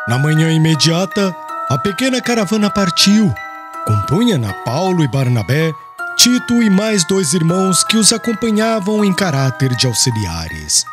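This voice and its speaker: Male narrator, high voice, animated intonation, solemn literary storytelling, mallet music behind. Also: normal speed. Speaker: audiobook narrator. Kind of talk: scripted literary religious narration. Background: glockenspiel-like music.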